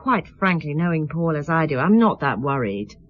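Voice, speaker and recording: A woman's voice: low-pitched, big pitch swings, normal speed, dry recording, lo-fi sound. A woman playing a defensive, impatient wife under police questioning in a radio drama, curt and exasperated. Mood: dismissive, exasperated. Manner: curt, exasperated, dismissive answers.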